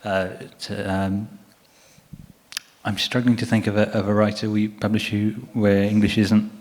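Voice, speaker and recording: A man's voice: lowish voice, level tone, a little slow, darkish tone. A man who works as a book publisher, answering on a conference panel with many hesitant restarts. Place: conference hall. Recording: reverberant conference hall.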